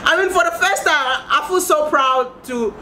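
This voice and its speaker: A man's voice: very high-pitched, moderate pace, bright, forceful tone, a little hiss or hum, poor audio. A young man vlogging his opinions to camera in an emphatic, exclamatory way, often asking and answering his own questions. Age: young adult. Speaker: video blogger. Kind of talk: animated opinion vlog to camera. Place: outdoors on a high balcony over a city.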